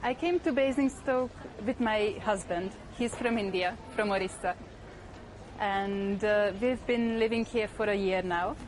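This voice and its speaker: A woman's voice: medium pitch, a little slow. Woman, noisy ambience. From Hungary. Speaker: dance student. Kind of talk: documentary interview.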